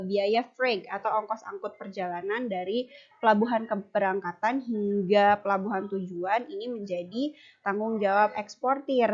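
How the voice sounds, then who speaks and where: A young adult woman giving an explanatory class presentation as a university student. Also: mid-range voice. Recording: dull lo-fi recording.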